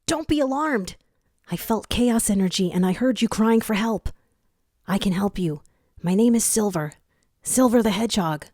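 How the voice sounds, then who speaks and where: A woman's voice: medium pitch, animated, normal speed, a little mellow, dry recording, studio quality, pitched above the performer's own voice, natural voice. A woman voicing an earnest, helpful young male hedgehog hero in a fan fiction story, speaking in a reassuring manner.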